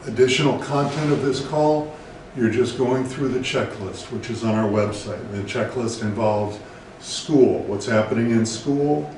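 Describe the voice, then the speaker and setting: A man with an American accent, a therapist presenting scripted educational advice in a measured, deliberate way. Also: medium pitch, a little mellow. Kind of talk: scripted educational talk to camera. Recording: very echoey room, distant mic, faint noise.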